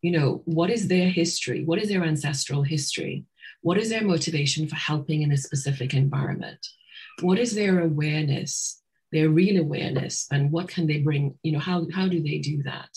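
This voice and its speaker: A middle-aged woman with a low, husky voice, speaking as an interview guest. She talks in a reflective way, stops often to restart her thoughts, and uses fillers as she goes. She grew up in Trinidad. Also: brisk, a little mellow, low-quality recording. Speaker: psychotherapist guest.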